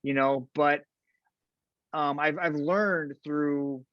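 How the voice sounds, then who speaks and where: A man, a fight commentator, chatting in an interview and telling lively stories, tagging his sentences with "right?". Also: fairly high pitch, very slow, no room sound. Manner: animated storyteller, fillers like "right?". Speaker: podcast guest, fight commentator. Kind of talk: casual interview chat. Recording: dull lo-fi sound.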